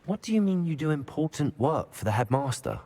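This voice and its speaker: An actor playing a level-headed young student in a video game, polite and composed with a dry, teasing edge. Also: male voice, fairly high pitch, very animated, a little fast, warm tone. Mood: curious, polite. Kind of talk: video game character acting.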